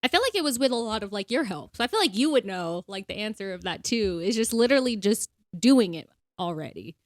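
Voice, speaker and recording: A young woman in her twenties co-hosting a podcast, talking candidly and quickly with lots of fillers. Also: mid-range voice, animated, no room sound, studio quality. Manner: candid, talks fast with many "like" fillers. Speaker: podcast co-host. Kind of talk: casual chat.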